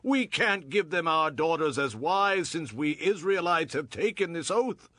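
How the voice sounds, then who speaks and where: An actor playing a solemn elder of an ancient assembly in an audio Bible drama, formal and declamatory. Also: male voice, on the high side, expressive, measured pace, bright, forceful tone, no echo, studio quality, heavily acted. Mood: troubled, resolute.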